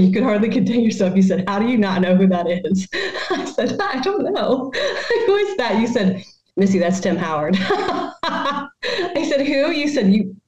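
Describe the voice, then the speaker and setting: A woman in her thirties hosting a podcast, telling stories in an animated, giggly way, recorded at home on a headset. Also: mid-range voice. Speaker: podcast host. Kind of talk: casual storytelling chat. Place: living room at home. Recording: slightly dull, roomy home recording.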